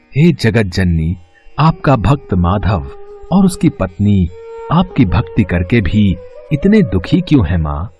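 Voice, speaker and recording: A man voicing a devout, questioning heavenly sage in a mythological cartoon, declamatory and drawn-out. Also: low voice, a little mellow, exaggerated voice. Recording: dull lo-fi track with music.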